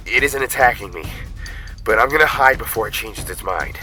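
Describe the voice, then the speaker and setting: A man's voice: medium pitch, animated, brisk, sharp tone. A man playing a cautious young superhero teammate in an audio drama, urgent and practical, with reverb on his voice. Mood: urgent, wary. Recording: reverberant hall effect with faint music.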